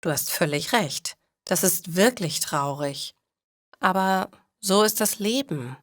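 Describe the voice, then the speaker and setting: Woman narrator as caring philosophical woman, storybook dialogue, reassuring, slow, dry sound. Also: lowish voice, lively intonation, dry recording. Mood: consoling. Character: caring, philosophical woman consoling her friend.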